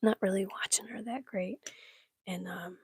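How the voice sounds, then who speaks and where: A woman from Michigan whispering in casual chat with her livestream viewers, breathy and laughing often. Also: medium pitch, steady tone, normal speed, dry recording, clean studio sound. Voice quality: breathy, gravelly. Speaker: livestream host.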